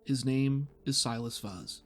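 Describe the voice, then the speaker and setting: A game master improvising narration for a tabletop game in a halting, thoughtful way. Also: mid-range voice, faint music behind.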